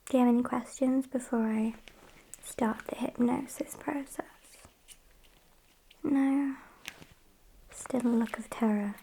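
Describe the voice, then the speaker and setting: A British woman voicing a sassy, smug female vampire in a soft, breathy ASMR roleplay whisper, with hesitant pauses and mock-polite condescension. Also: fairly high pitch, fairly even intonation, slight background noise, poor audio.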